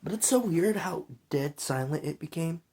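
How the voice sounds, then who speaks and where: A man's voice: fairly high pitch, animated, normal speed, vocal fry, dark tone. A young man who is sick and coughs now and then, talking in a loose, rambling, slangy vlog monologue full of fillers. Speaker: vlogger.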